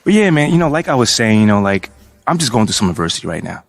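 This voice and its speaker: Fast-talking, easygoing young athlete. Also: male voice, lowish voice, sing-song, creaky, long reverb tail. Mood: earnest. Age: young adult. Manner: easygoing, slangy. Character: laid-back, earnest young male basketball player. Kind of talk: casual cutscene dialogue.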